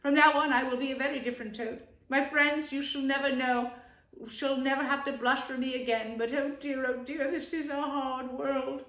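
Woman reader doing boastful male toad, theatrical, pitched up, roomy sound. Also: steady tone, moderate pace, a bit bright. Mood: sadly grand. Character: boastful vain male toad, self-pitying. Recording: roomy echo.

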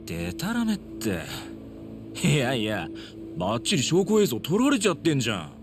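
An actor playing a cocky, mocking young punk livestreamer with slangy, taunting speech in a video game. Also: male voice, mid-range voice, huge pitch swings, normal speed, music in the background. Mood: smug. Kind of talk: dramatic video game acting.

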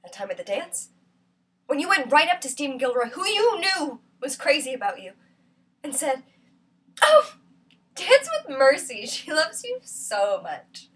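A woman performing a theatrical monologue as a lonely, bitter woman who feels ugly, with an intense, halting delivery. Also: sits high, sing-song, a bit bright, distant mic. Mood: bitter, hurt. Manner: intense, halting, swinging from whisper to outcry.

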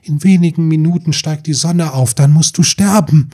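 Man voicing urgent, pleading mermaid sister, raised pitch. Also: dark tone, natural voice. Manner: insistent, pleading, hurried. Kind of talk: fairy tale audiobook dialogue.

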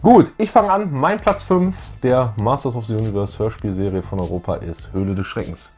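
A man's voice: fairly low pitch, moderate pace, darkish tone. A middle-aged man co-hosting a fan show, chatting casually with emphatic enthusiasm. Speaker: co-host of a retro fan show. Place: small indoor room with collectibles.

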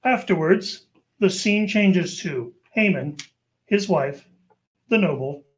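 A man's voice: sits high, expressive, slow, a little hiss or hum. A man narrating a scripted old-time radio show, setting the scenes.

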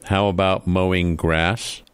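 A stubborn but well-meaning middle-aged dad from a family radio comedy, played by a man. His voice is low and sounds close and dry, with an old-fashioned fatherly manner. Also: little pitch movement, takes it slowly, clear, resonant tone, no room sound.

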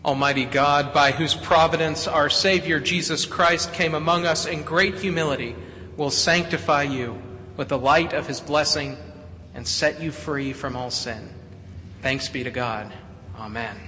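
Bright-voiced pastor in a reverberant church. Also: male voice, fairly high pitch, a little slow. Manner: upbeat and playful with children, often restarting phrases. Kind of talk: church service leading and children's sermon. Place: church sanctuary. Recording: church sanctuary mic with long hall reverb and faint background noise.

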